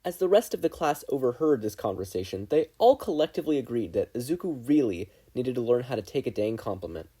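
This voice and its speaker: Expressive, mellow, husky storytelling narrator. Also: mid-range voice, quick pace, hardly any pauses. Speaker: podfic narrator. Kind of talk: expressive scripted fiction narration.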